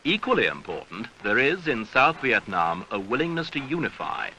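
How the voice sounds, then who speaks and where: A man narrates a vintage newsreel with grave, measured rhetoric. His voice is very sharp and forceful, with lively rises and falls in pitch. The old soundtrack carries a faint layer of noise. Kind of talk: scripted documentary newsreel narration. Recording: noisy archival 1970s newsreel soundtrack.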